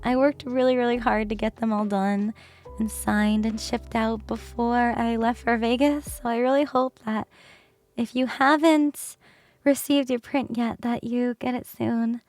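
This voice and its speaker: A woman streamer chatting casually with her viewers, her voice worn from a bad cough, keeping it lowered and laughing often. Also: fairly even intonation, unhurried, darkish tone, no room sound, soft background music. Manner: chatty, rambling with fillers. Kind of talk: casual livestream chat.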